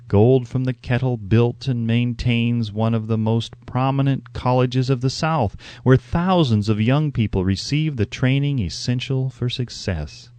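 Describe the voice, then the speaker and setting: A man narrates an audiobook with lively, varied intonation. His delivery is measured and emphatic, like a practiced storyteller working from a script. His pitch sits in a middle range, and the sound is dry and close. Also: no echo.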